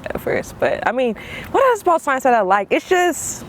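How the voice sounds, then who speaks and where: A young man, born in Hawaii and raised in Georgia, talks as a guest in a casual interview chat, relaxed and slangy and laughing easily. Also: very high-pitched, moderate pace, slight background noise.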